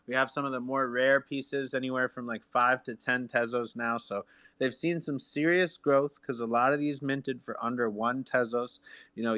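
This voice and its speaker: A man's voice: medium pitch, pushed and bright, smooth. A man hosting a promotional livestream as a music artist, talking in a hyped, salesy way straight to his listeners. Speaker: music artist and radio host.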